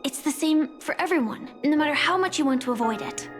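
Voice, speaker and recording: A woman's voice: sits high, lively intonation, a little fast, over music. An actress playing an earnest, determined young female warrior in a video game. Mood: wistful, serious. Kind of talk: scripted video game voice acting.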